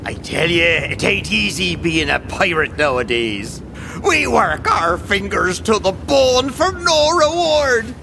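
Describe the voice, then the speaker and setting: Grumbling pirate deckhand, swooping pitch. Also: male voice, very animated, takes it slowly, creaky, sharp tone, full character voice. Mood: bitter grumbling. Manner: complaining, theatrical pirate talk. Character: grumbling, bitter working pirate crewman. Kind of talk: scripted audio drama acting. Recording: rumbling background noise.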